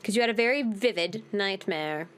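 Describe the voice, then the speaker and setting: A woman's voice: medium pitch, normal speed, fairly bright tone, a little hiss or hum. A woman hosting a game playthrough, reading text aloud and commenting on it in a casual, wry, playful way.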